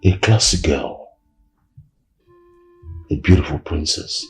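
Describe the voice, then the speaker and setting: An actor playing a proud, wrathful king and father in a film drama, speaking with slow, weighty emphasis and a gravelly voice. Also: rumbling low, lively intonation, soft background music, full character voice. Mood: bitter, indignant.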